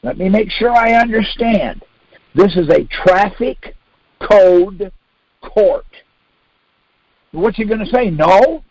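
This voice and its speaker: A man's voice: high voice, animated, takes it slowly, long pauses, a little mellow, dry recording. An older American man leading a conference call, giving an informal, insistent instructional talk full of rhetorical questions and acted-out exchanges. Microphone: phone conference line. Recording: dull lo-fi conference call audio.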